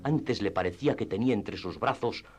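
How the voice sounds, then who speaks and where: A man narrating classic literature in radio theatre style, with expressive storytelling and deliberate phrasing. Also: lowish voice. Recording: music under the voice. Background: background music.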